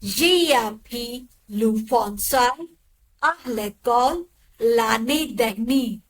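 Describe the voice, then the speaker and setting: A woman modelling sentences for a language lesson, enunciating each phrase clearly and evenly. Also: a little high, extremely slow. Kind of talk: slow model repetitions of sentences.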